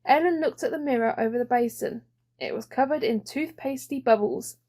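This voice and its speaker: A woman's voice: high voice, brisk, darkish tone. A woman reading a children's story as an audiobook narrator.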